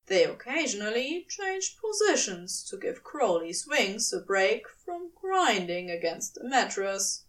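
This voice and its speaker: A woman's voice: mid-range voice, recorded from a distance. A woman reading scripted fan fiction as its narrator.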